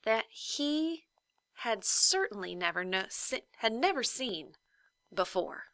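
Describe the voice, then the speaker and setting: A woman reading a children's story aloud like a teacher, with deliberate, emphatic phrasing and dramatic hushes. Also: fairly high pitch, very animated, creaky, no room sound.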